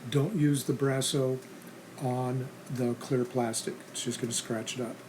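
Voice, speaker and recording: A man with a medium-pitched voice narrates a restoration how-to in a casual style. His delivery is plain, practical and instructional. Clear background noise and ambience sit behind his words. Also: fairly even intonation, a little slow. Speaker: host of a restoration how-to channel.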